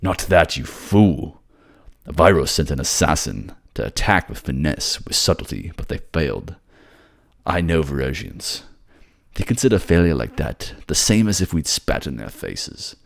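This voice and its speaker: A man's voice: rumbling low, very animated, a little slow, creaky, heavily acted. A man playing a brooding, commanding warlord king in a fantasy audio drama, curt and proud. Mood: stern. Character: gruff, menacing, scornful warlord king, fiercely protective lover.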